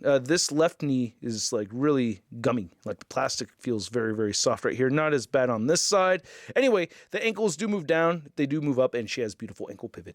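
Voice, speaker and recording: A man's voice: on the high side, dry recording, clean studio sound. A man giving a casual, chatty, opinionated hands-on review of action figures as the host of a toy channel.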